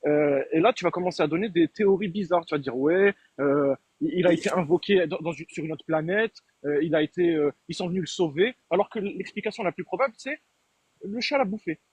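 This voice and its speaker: Husky man explaining a point in a debate, hesitant, lots of fillers, dry close recording. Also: on the high side, fairly quick, a little mellow, dry recording. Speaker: debate participant. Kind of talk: online debate argument.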